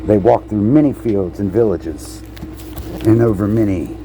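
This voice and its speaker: A man reading a children's picture book aloud in a halting way, repeating words and slipping in playful asides to the listener. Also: fairly low pitch, dark tone, slight background noise, low-quality recording. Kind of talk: storybook read-aloud with casual asides.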